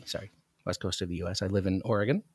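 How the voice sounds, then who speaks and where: A man's voice: low voice, rapid-fire, husky, no echo. A man with an American accent, talking casually as a guest on a podcast. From United States, Alaska. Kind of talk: casual chat.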